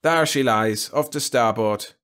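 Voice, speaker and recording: A man's voice: mid-range voice, measured pace, fairly bright tone, clear, resonant tone, lightly acted. A man voicing a brash, impatient, anxious male freighter pilot with a curt manner in an audiobook story. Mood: determined.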